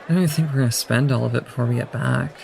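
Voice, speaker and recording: A woman's voice: extremely low pitch, racing, creaky, noisy background. An actress playing a reserved, awkward young female student in an audio drama, speaking hesitantly. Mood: shy, friendly. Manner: hesitant, shy, understated.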